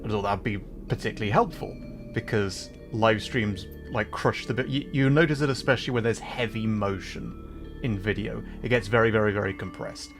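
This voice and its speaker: A man's voice: on the low side, moderate pace. A man streaming a game, chatting casually, rambling and apologetic about technical setup. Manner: rambling, self-deprecating, apologetic. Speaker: VTuber streamer. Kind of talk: casual livestream chat. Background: background music.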